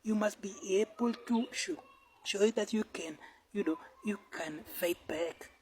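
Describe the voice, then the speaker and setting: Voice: mid-range voice, a little slow. A person giving emphatic warning commentary in firm, repetitive declarative statements. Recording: clearly noisy recording with clicks.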